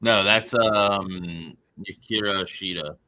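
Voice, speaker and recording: Low, very sharp-toned man. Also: fairly even intonation, slow pace. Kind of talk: casual wrestling chat.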